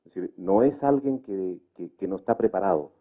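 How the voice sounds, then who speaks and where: A man explaining his view in a public debate, deliberate, restating and clarifying each point. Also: deep voice, expressive. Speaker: debate participant. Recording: muffled narrow phone-like sound.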